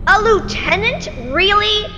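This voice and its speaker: An actress playing the haughty, territorial ghost of a murdered officer's wife in an audio drama. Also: female voice, extremely high pitch, a little slow, highs cut off, noisy background. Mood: catty, indignant. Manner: eerie proclamations slipping into catty bickering. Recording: long ghostly reverb, music underneath.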